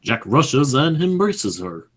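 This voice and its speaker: A man's voice: medium pitch, big pitch swings, takes it slowly. A man narrating stage directions for an amateur radio play in a scripted reading style. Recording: clearly noisy amateur recording.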